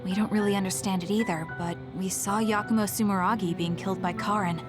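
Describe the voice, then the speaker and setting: A woman's voice: medium pitch, fairly even intonation, fast talker, over music, natural voice. A woman playing a serious, matter-of-fact young female soldier in a dubbed video game cutscene.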